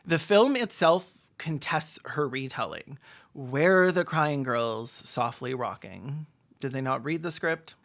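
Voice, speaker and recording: A video commentator giving sarcastic, comedic film commentary with deadpan asides and mock-dramatic emphasis. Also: medium pitch, takes it slowly, gravelly.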